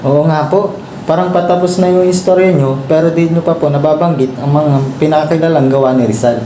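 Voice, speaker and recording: A man's voice: a little high, fairly even intonation, a little fast, mellow, close to an everyday voice. A young Filipino man playing a polite, attentive student reciting to a teacher in a student radio drama. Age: young adult. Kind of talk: scripted recitation. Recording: echoey room, faint noise.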